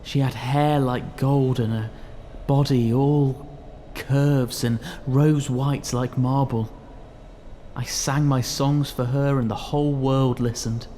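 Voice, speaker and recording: An actor playing a proud, lovelorn young poet in an audio drama, earnest and declamatory. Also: male voice, medium pitch, steady tone, slow pace, dark tone, a little hiss or hum, close to an everyday voice. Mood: bitter. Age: young adult. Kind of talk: theatrical audio drama acting.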